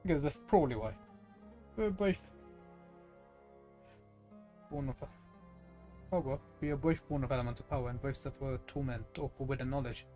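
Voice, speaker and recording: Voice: mid-range voice, normal speed, long pauses, warm tone. Casual game streamer thinking aloud over music. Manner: rambling, conversational, thinking aloud. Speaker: live streamer playing a video game. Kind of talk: casual gaming stream commentary, chatting with viewers.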